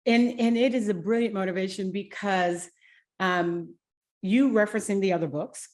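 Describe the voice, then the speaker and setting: Woman, podcast host, medium pitch, conversational interviewing, emphatic and expressive delivery. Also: moderate pace.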